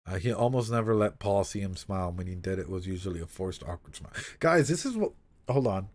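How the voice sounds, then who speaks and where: Low-pitched man, casual streamer. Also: brisk. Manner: animated, profane, frequent asides. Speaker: streamer and psychologist commentator. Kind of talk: casual reaction stream, reading aloud with commentary.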